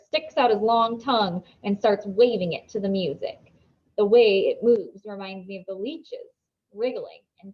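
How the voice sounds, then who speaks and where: Woman reading a storybook, slightly dull sound. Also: mid-range voice. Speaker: reader reading a children's novel aloud. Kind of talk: expressive storybook narration.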